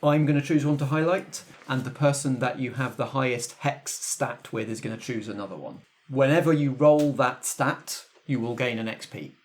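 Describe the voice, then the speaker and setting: A man's voice: medium pitch, normal speed, few pauses. A man with a mid-range, natural voice acts as game master at a casual tabletop session. He explains the rules in a conversational way and guides the group with questions.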